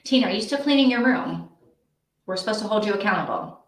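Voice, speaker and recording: A woman's voice: mid-range voice, lively intonation, quick pace. Woman livestreamer chatting in an echoey room. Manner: rambling, thinking aloud. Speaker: host of a live reading sprint stream. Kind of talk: casual livestream chat. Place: indoor room with echo.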